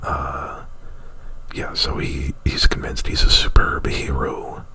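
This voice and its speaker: A man doing casual ASMR, whispering breathily right up at the microphone in a rambling, informal retelling. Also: slow pace. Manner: rambling, informal, with frequent "like" and profanity. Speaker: ASMR performer. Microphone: very close to the microphone.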